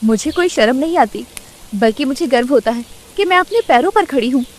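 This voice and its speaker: A woman voicing a confident young woman who sells samosas and speaks calmly about her work in a storybook cartoon. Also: sits high, a little fast. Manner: calm, self-assured.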